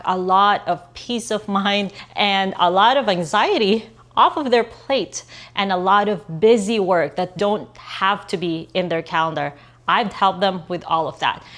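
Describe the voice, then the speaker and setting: A woman, talking straight to camera about her outsourcing business in an earnest, emphatic way. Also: medium pitch. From Philippines. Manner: earnest, emphatic, repeats for stress. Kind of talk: direct-to-camera business talk.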